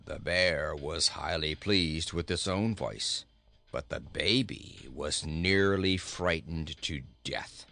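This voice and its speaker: A sour talking goat from a storybook, played by a man, pitched well down in a grumble. He is scornful and deadpan, drawing out his sarcasm at an unhurried pace. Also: gravelly, soft background music, heavily acted. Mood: dry, mocking. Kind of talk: storybook character voice.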